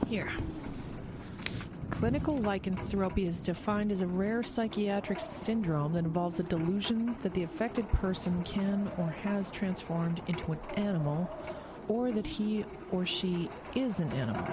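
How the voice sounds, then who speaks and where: A woman's voice: mid-range voice, noisy background, natural voice. A woman playing a wary, inquisitive investigator in an audio horror drama. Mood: studious.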